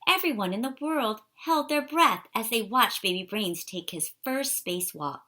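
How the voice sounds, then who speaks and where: A woman reading a children's picture book aloud in an expressive, animated way for young listeners. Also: fairly high pitch, moderate pace. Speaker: storybook reader.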